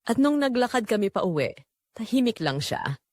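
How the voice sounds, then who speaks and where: A woman's voice: fairly high pitch, sing-song, normal speed, darkish tone, no room sound, clean studio sound. A woman playing a guilt-ridden young woman who confesses a forbidden love in an emotive, dramatic audio drama narration. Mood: tense longing.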